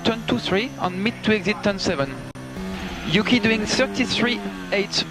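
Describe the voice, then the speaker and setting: A man's voice: high voice, moderate pace, creaky, loud music behind the voice, low-quality recording. A male race engineer giving terse instructions over team radio, clipped and repeating key commands. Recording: motorsport radio with loud background sound.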